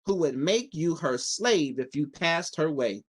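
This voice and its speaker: A little Munchkin man read by a male storyteller from a children's storybook. He explains things solemnly and earnestly, with some lively movement in his pitch. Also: measured pace.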